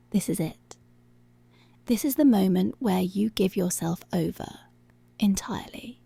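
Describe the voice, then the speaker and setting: A woman performs a commanding, seductive policewoman in an intimate ASMR roleplay. Her delivery is very slow and deliberate, slipping between murmur and whisper. The voice is husky and breathy with a heavy fry, possessive but tender. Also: medium pitch, mellow, no echo, full character voice. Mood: hushed, commanding. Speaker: ASMR voice actor. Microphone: close, dry microphone.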